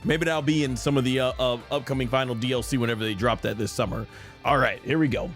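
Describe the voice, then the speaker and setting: A man's voice: mid-range voice, fairly even intonation, quick pace, a bit bright. A man hosting a live game stream, chatting casually and thinking aloud in a rambling way. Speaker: streamer, host. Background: faint game music.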